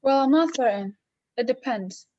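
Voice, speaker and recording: A woman acting an officious chief telephone operator in a radio drama, polite, measured and unmoved. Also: high voice, lively intonation, a little mellow, faint music behind. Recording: slightly dull, lo-fi sound.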